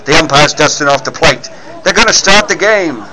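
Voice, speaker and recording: A man playing a gruff, skeptical newspaper editor, scolding and authoritative, in 1940s radio style. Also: a little high, expressive, normal speed, natural voice, rough recording. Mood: stern, exasperated. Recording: clear stadium crowd noise behind.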